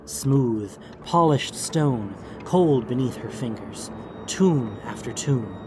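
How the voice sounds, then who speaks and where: A man giving measured, theatrical narration of a fantasy audiobook. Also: medium pitch, lively intonation, noisy background.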